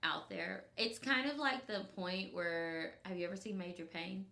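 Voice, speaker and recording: A woman's voice: medium pitch, normal speed, gravelly, bright, forceful tone, reverberant. A woman with a Memphis, Tennessee accent, chatting playfully as podcast co-host, full of "like" and "um". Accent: Southern US (Memphis). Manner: chatty, playful, many "like" and "um" fillers. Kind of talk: casual chat.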